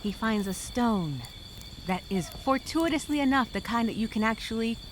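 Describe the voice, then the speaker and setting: A woman's voice: a little high, animated, normal speed, close to an everyday voice. A woman playing a weary, sarcastically hopeful castaway who muses aloud in a dramatic journal monologue. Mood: weary musing. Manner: wry, musing, sarcastic. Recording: noisy, insects and crickets chirping behind.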